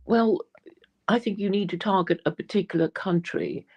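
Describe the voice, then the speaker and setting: A woman's voice: medium pitch, normal speed, breathy edge. A woman from England, a guest in a live online discussion, speaking hesitantly and repeating words.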